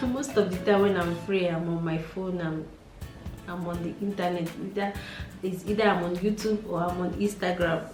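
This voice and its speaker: A woman's voice: on the low side, steady tone, moderate pace, reverberant, far from the mic, over music. A Nigerian woman in her late twenties with a Nigerian accent, chatting casually in a get-to-know-me vlog, musing aloud and repeating herself. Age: late 20s. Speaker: beauty vlogger.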